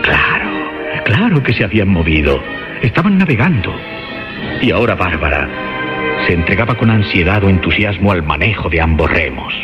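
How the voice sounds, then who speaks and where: Very deep-voiced male horror narrator. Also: sing-song, moderate pace. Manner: solemn, literary storytelling. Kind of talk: scripted horror radio drama narration. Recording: dull lo-fi track under loud music.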